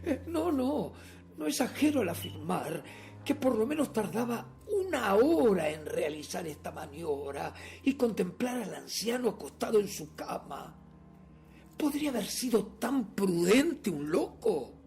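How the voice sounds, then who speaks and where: Theatrical, nervous madman, wildly swinging pitch. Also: big pitch swings, gravelly, a little mellow, soft background music, exaggerated voice. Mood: manic, amused. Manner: theatrical, halting, swinging between whispers and outbursts. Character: nervous, obsessive madman confessing a murder, insisting he is sane. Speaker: radio theatre actor. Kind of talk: dramatic radio theatre monologue.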